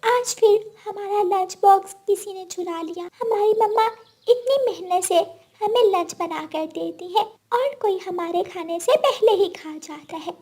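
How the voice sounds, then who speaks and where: A woman's voice: extremely high pitch, measured pace, darkish tone, voice pushed up. A woman voicing an anxious, plaintive little schoolgirl bird in a children's cartoon. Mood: pleading complaint. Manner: plaintive, complaining to grown-ups.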